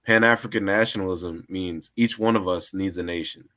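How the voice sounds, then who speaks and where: A man's voice: sits low, moderate pace, bright, forceful tone. A man in a casual discussion, often restarting and repeating words as he builds his argument. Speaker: guest.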